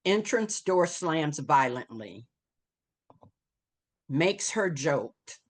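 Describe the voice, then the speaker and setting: A woman with a low voice narrates screenplay directions. She takes her time, phrasing each bit dramatically, and her pitch swings widely. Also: sing-song, very slow. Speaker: stage-direction reader.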